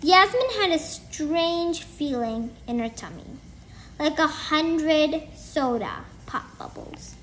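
Very high-pitched, lively woman reading aloud. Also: takes it slowly, a little hiss or hum, low-quality recording. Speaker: storybook reader. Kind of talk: children's book read-aloud.

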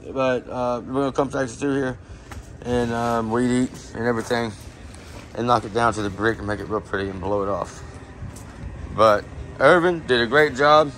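A man's voice: mid-range voice, moderate pace, a bit bright, slight background noise, poor audio. A man, explaining a yard job step by step in a casual, coaching way. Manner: coaching, talks things through step by step. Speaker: landscaper, vlogger. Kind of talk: instructive casual chat. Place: outdoors, in a yard.

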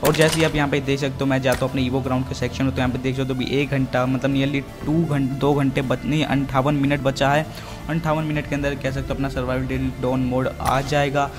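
A man's voice: medium pitch, level tone, a little fast. An Indian man hosting a gaming channel, giving casual video commentary. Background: background music.

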